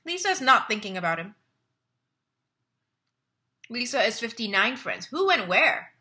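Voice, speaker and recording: A woman's voice: fairly high pitch, very animated, a little slow, pushed and bright, heavily acted. A young woman acting a stage monologue as a lonely, wry, restless woman reading out her online status updates. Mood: agitated, wry. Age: young adult. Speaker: actor in a staged play reading. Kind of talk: dramatic monologue read as social media status updates. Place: living room at home. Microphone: laptop webcam at close range.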